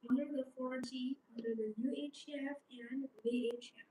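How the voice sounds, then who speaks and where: A woman's voice: high-pitched, steady tone, normal speed, dark tone. A young woman, a non-native English speaker, giving a live product sales demonstration in simple, choppy phrasing. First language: not English. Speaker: live-stream sales presenter. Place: small showroom studio set, indoors. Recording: roomy, distant mic with background noise.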